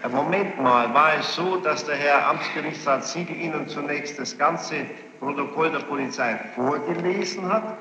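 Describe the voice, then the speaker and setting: A man questioning a witness as a presiding judge, formal and probing, choosing his words deliberately with pointed challenges. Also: fairly high pitch. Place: large reverberant courtroom. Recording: muffled, noisy archival courtroom tape, echoing hall.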